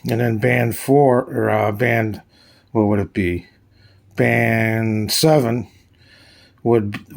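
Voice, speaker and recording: A man giving a casual, hands-on product demonstration as a reviewer, speaking in a plain, halting way. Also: mid-range voice, creaky, a little mellow. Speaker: radio reviewer, host.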